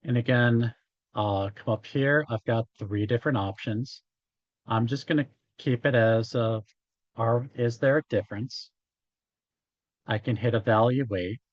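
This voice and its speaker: Slow-talking, slightly hoarse male instructor, dull sound. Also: mid-range voice, no echo. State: hoarse after hours of talking. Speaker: college instructor. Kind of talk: informal statistics lecture, working through examples.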